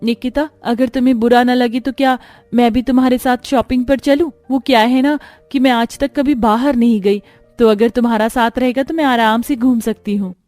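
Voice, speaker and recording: A woman's voice: a little high, fairly even intonation, normal speed, a little mellow, no echo, close to an everyday voice. A woman acts a meek young stepdaughter in a cartoon fairy tale. She sounds plaintive and timid, a sad soul, with music underneath. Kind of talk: cartoon story voice acting.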